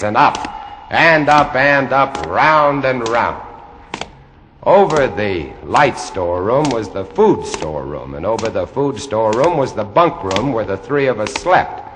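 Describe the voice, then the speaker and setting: A man's voice: mid-range voice, noisy background. A man playing a lighthouse keeper who narrates his tale in vintage radio drama, dramatic and savoring each phrase. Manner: dramatic, savoring, measured emphasis. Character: male lighthouse keeper recounting a horror, brooding, vivid. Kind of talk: 1950s radio drama first-person narration. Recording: vintage 1950 radio broadcast.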